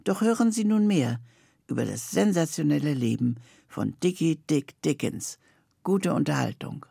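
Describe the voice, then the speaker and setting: A woman's voice: sits low, lively intonation, normal speed, creaky, warm tone, breathy edge, no room sound. An older woman giving a scripted, personal introduction to a radio series as its presenter.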